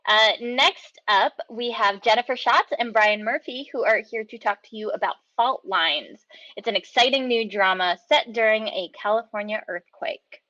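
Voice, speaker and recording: Bright-voiced woman host, dull audio. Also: on the high side, normal speed, no room sound. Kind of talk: presenting guests.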